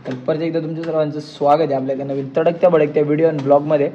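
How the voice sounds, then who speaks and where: A man's voice: on the high side, little pitch movement, very fast. A young man with a Maharashtrian accent chatting casually and playfully with friends as a slangy prank vlogger. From Maharashtra, India. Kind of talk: casual vlog chat with friends. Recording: muffled audio with clear background noise.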